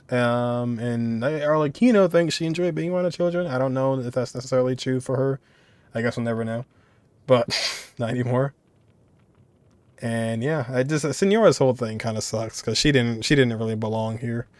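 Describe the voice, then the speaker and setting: A man's voice: medium pitch, no echo. A man in his twenties giving informal game reaction commentary, musing and thinking aloud. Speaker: reaction video host.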